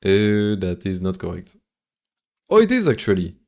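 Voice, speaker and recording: A man's voice: deep voice, wildly swooping pitch, moderate pace, creaky, darkish tone. A man with a French accent, a native French speaker, thinking aloud in casual, hesitant mutters as he live-streams his programming.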